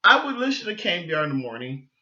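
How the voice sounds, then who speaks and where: A man's voice: sits high, sing-song, rapid-fire, sharp tone, dull audio. A man hosting a sports podcast, giving casual, opinionated fan talk in a rambling way, often restarting and repeating his phrases.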